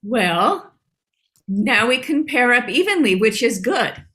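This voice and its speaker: A self-assured cartoon lady dog who takes charge. Her voice is fairly high and bright, with a brisk, falsely soothing manner. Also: sing-song, a little hiss or hum, full character voice. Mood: falsely calm. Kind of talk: animated comedy table read.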